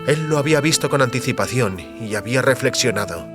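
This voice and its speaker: Un hombre narra con guion un relato dramático de ciencia ficción. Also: male voice, medium pitch, animated, fairly quick, over music. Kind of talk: scripted dramatic story narration.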